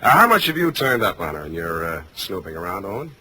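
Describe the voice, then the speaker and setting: An American actor playing a wry, hard-boiled private detective in a deadpan, clipped 1940s radio style. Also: male voice, deep voice, fast, heavily acted. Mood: dry, wry. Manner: deadpan, clipped narration and dry banter. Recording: noisy old radio drama recording.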